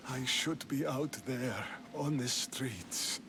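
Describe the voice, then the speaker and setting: Slow, ailing old statesman. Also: mid-range voice, noisy background. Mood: weary, ashamed. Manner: grave, labored, halting delivery. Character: ailing, proud, weary Athenian statesman, older man. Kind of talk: video game cutscene acting.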